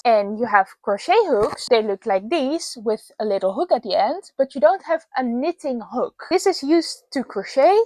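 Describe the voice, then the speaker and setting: A woman's voice: sits high, no room sound. Chatty young woman, dry close sound. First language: Dutch. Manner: chatty and explanatory, with sudden bursts of emphasis. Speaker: video host. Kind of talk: casual commentary reading online posts.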